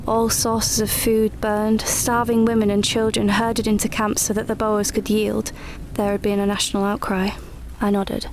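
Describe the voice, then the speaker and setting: Measured, even-toned young suffragette played by an actress, with background noise. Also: female voice, mid-range voice, natural voice. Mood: sombre, reflective. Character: spirited young suffragette woman in 1912, frank and wry. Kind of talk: radio drama narration and dialogue.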